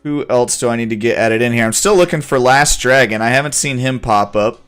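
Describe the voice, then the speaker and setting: A man's voice: mid-range voice, brisk, fairly bright tone, clean tone, faint music behind. Upbeat man hosting a game stream. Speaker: stream host. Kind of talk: casual gaming stream commentary.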